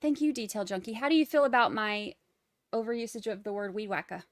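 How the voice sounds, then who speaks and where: A woman's voice: mid-range voice, expressive, quick pace, no room sound. A woman with a Boston accent hosting a live trial commentary stream, chatting wryly and reading out viewer comments. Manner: chatty, wry, rambling asides.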